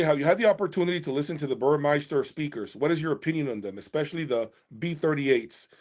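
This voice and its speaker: A man's voice: mid-range voice, darkish tone. A man hosting a casual livestream about audio gear, answering viewers in an emphatic way and repeating phrases for emphasis. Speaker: host of an audio gear channel.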